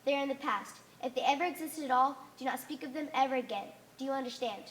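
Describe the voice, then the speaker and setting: A woman's voice: sits high, fast, a little hiss or hum, natural voice. A young woman playing a stern, solemn religious leader in an amateur stage play, speaking in commanding, declamatory tones. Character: stern authoritarian female religious leader of a conformist society. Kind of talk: amateur one-act stage play acting. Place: theater stage.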